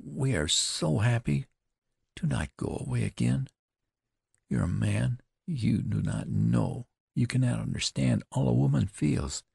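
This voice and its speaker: A man's voice: medium pitch, gravelly, a little mellow, breathy edge, no room sound, clean studio sound, voice pushed up, full character voice. A man voicing a young frontier woman who begs her brother not to leave, speaking emotionally. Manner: imploring, emotional.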